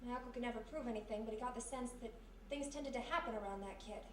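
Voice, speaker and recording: A roomy, far-off live recording of an actress playing a sharp-witted American woman sidekick in an old-time radio mystery. She talks fast and insistently, with stubborn, slangy urgency, over faint noise. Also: fairly high pitch, lightly acted. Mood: hushed, ominous. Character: quick-thinking, stubborn young woman, detective's sidekick. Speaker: actor. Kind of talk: 1940s-style radio mystery acting, live. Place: live performance in a historic house.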